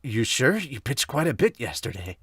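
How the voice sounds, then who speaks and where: A man voicing earnest high school baseball players, reading manga dub dialogue in a plain manner. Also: sing-song, normal speed, fairly bright tone, husky, dry recording, studio quality, exaggerated voice. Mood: matter-of-fact.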